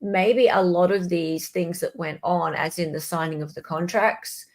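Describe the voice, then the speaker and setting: A woman's voice: on the low side, steady tone, measured pace. A woman hosting a casual live legal commentary chat, talking informally and directly to her viewers. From Australia. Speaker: livestream host.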